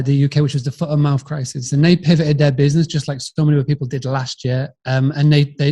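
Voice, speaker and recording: A man's voice: fairly high pitch, dark tone. A middle-aged man from Yorkshire with a northern English accent, talking in a chatty, rambling way as an interview guest. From Yorkshire, England. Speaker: podcast guest, business founder. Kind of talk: conversational storytelling in an interview.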